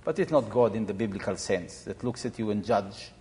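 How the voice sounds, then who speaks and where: A man in his seventies from Israel, explaining informally to an audience in a halting, reflective way. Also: on the low side, lively intonation, a little mellow. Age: 70s. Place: large echoey room or hall. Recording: reverberant hall sound.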